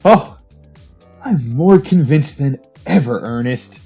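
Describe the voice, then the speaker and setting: Male actor as wistful mad tinkerer for radio thriller: breathy-rough voice, unhurried, imploring, highly animated pitch. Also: soft background music, full character voice. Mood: obsessed, pleading. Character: obsessive, wistful male inventor doting on his robot. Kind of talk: retro 1940s radio drama acting.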